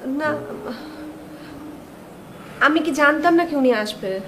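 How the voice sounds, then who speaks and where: An actress playing an uneasy, evasive young wife in a TV drama, halting and defensive. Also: female voice, sits high, lively intonation, fairly bright tone, full character voice. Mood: flustered. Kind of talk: TV drama dialogue. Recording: echoey room, clear background noise.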